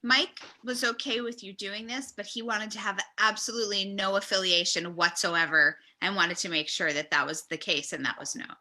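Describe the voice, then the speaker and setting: A woman's voice: medium pitch, fairly even intonation, normal speed, few pauses, pushed and bright. A woman talking casually as a guest at a comedy reading. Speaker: guest reader. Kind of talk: casual chat.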